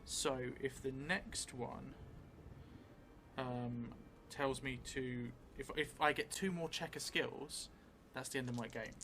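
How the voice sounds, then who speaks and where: Slow, musing man, noisy background. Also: medium pitch. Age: middle-aged. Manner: hesitant, musing, with long pauses. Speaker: solo role-player and streamer. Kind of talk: improvised solo tabletop game narration, thinking aloud. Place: indoor theatre room, seated at a table. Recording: clear steady background noise.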